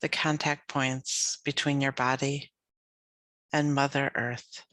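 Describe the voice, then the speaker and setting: A woman leading a guided yoga nidra meditation as a teacher, speaking gently and soothingly with unhurried phrases and long pauses. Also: extremely low pitch, little pitch movement, dry recording.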